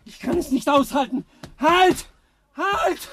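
An actor playing a desperate, unstable addicted patient in a 1960s German radio thriller, pleading and repeating himself in agitation. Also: male voice, extremely high pitch, slow pace, fairly bright tone, slight background noise, heavily acted.

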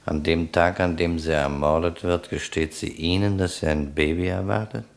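A shrewd police detective in a crime radio play. His voice sits low, and he questions with dry, sardonic skepticism, pressing each point. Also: steady tone, moderate pace, gravelly. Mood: suspicious, probing. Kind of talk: German crime radio drama acting.

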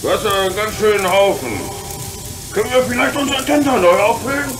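An easygoing, fatherly engine driver in a children's radio play. The delivery swings freely in pitch and is reassuring, with thoughtful pauses. Clear noise sits behind the voice. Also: animated, fairly bright tone. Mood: friendly. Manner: easygoing, avuncular, hesitant pauses. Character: jovial, reassuring adult male locomotive driver. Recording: noisy background with hiss.

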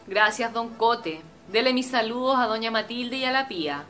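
Bright, insistent Chilean daughter played by a woman. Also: on the high side, moderate pace, faint music behind, lightly acted. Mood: polite, concerned. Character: insistent, status-conscious doctor daughter pleading with father. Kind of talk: radio drama acting.

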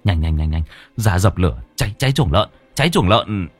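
A man's voice: deep voice, animated, fairly quick, husky, soft background music. A man voicing a commanding exorcist who barks urgent orders with a Northern Vietnamese accent, in an audio story.

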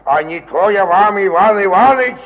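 An actor in a mid-century radio play playing a stubborn, coarse provincial landowner with a blunt, mocking manner. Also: male voice, sits high, normal speed, close to an everyday voice. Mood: angry, defiant. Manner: gruff, mocking, earthy speech. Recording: dull, reverberant 1950 radio recording.

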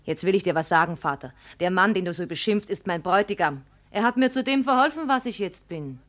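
A proud, tormented daughter played by a woman in an old radio drama. Her voice is bright and sharp, swinging widely in pitch, with a dramatic, emotional delivery. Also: lively intonation, fairly quick, natural voice. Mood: defensive. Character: proud, ashamed, torn daughter of a madman. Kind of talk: 1950s German radio play acting.

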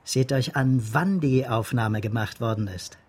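An actor playing a dry, wry, middle-aged veteran police detective in a radio drama, with a husky voice. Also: male voice, medium pitch, a little slow, darkish tone. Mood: dry, knowing. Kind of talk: naturalistic radio play dialogue.

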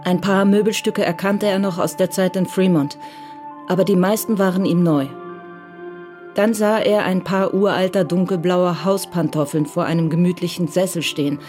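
A woman's voice: lowish voice, steady tone, normal speed, a little mellow, faint music behind. A woman reading scripted narration for a German radio crime drama.

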